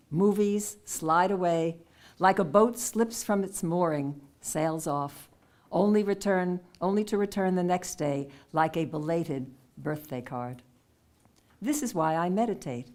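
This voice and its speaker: A woman hosting a poetry contest event in a village hall, reading poet bios and poems aloud in a clear, measured way. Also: lowish voice, darkish tone. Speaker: contest host.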